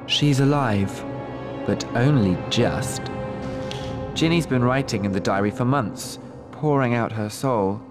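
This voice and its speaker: An actor playing a sinister teenage dark wizard in a video game, menacing, taunting and theatrical. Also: male voice, mid-range voice, slow pace, loud music behind the voice, close to an everyday voice. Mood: gloating. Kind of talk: video game villain acting.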